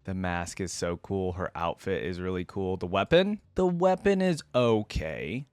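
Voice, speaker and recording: A man's voice: low voice, animated, a little slow, a little mellow, smooth, dry recording. A man giving casual, informal reaction commentary, talking in a slangy, enthusiastic way as the host.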